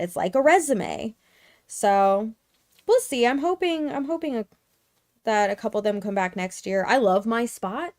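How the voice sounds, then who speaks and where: A woman streamer chatting casually and rambling with lots of fillers, tired and with her voice going hoarse. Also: fairly high pitch, a little mellow. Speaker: craft streamer. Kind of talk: casual livestream chat.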